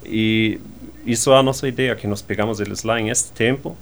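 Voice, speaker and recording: A man's voice: lowish voice, fairly even intonation, a little fast, gravelly. A man whose first language is German, speaking Portuguese with a German accent and slightly off grammar, often tagging his sentences with questions. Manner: slightly ungrammatical, frequent tag questions. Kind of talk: conversational interview answers.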